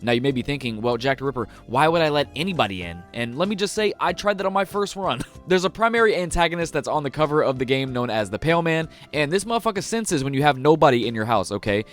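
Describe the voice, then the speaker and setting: A man's voice: fairly high pitch, animated, quick pace, few pauses. A young American man reviewing a video game for viewers in a casual, slangy, profane and emphatic way. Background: music under the voice.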